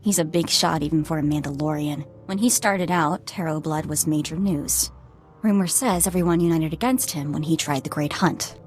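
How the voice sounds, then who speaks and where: An actress plays a determined young woman working as a bounty hunter's handler in a game drama. Her voice sits low, earnest and urgent, carrying grief, with soft music behind it. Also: normal speed, lightly acted. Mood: urgent, resolute. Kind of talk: video game voice acting.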